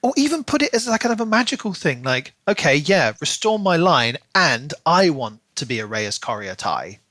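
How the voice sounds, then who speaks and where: Bright, higher-pitched man chatting about books. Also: normal speed, dry recording. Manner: animated retelling, acts out imagined dialogue in asides. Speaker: podcast co-host. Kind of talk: casual book discussion chat.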